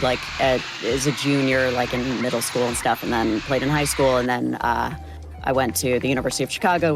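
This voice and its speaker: A young American woman with a low voice, talking casually and informally as an interview guest, often saying "like". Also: low-quality recording. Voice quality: low-pitched voice. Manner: informal, chatty, heavy use of "like". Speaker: voice actor being interviewed. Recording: music playing behind the voice. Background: background music.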